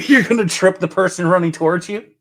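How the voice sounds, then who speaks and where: A man's voice: high-pitched, brisk. Man narrating as a theatrical game master. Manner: descriptive, theatrical storytelling. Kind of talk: narrating a tabletop role-playing game.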